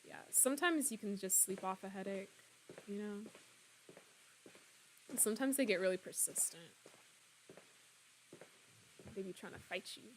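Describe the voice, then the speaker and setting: A woman in her twenties, slightly hoarse, chatting casually and laughing often as she streams a game and answers her viewers. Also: medium pitch, measured pace, pauses often, slight background noise, poor audio. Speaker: streamer playing a horror game. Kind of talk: casual live-stream chat, reading out viewer comments.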